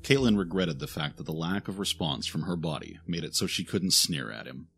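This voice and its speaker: A man's voice: low-pitched, lively intonation, fairly quick, fairly bright tone, faint music behind. A man reading scripted audio drama narration in a dramatic, solemn storytelling manner.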